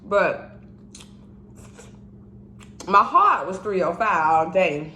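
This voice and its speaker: A woman's voice: lowish voice, sing-song, extremely slow, sharp tone, echoey room, slight background noise. A woman in her twenties, tipsy, chatting casually while eating on camera, humming and chewing between stories. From Indiana, raised in Miami and Georgia. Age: 20s. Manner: chatty, chews and hums while talking. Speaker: mukbang vlogger. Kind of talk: casual eating vlog chat.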